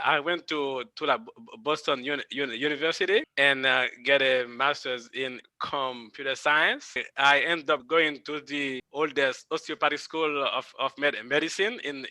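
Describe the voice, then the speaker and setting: Bright, tense man from Haiti. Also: mid-range voice, moderate pace, hardly any pauses, no echo. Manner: stumbling, many restarts. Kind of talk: self-introduction.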